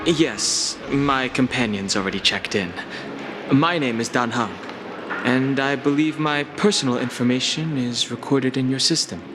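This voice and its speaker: A man voicing a calm, reserved young companion in a game cutscene. He is formal and unhurried, with a mid-pitched, even delivery. Music swells beneath him. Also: natural voice. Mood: polite. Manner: formal, composed, measured.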